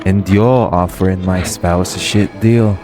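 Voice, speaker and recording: A man's voice: sits low, steady tone, measured pace, music in the background. A man playing a cocky, possessive vampire lord in an audio roleplay, with a casual, sardonic drawl and an edge of menace. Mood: threatening.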